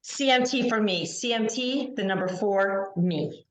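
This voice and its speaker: A woman's voice: medium pitch, unhurried, reverberant. A woman co-hosting a podcast over a video call, teasing and laughing often in casual chat. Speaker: podcast co-host.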